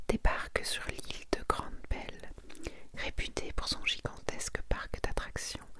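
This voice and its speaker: A woman speaking in a soft, breathy ASMR whisper, hushed and intimate, presenting and reading aloud in a casual way. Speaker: ASMR creator presenting a manga collection.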